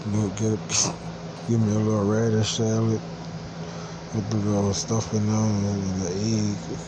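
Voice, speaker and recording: A congested man with a stuffed-up nose, rambling in a halting rant full of fillers, restarts and swearing. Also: lowish voice, monotone, noisy background. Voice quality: quiet, hard to hear. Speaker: vlogger.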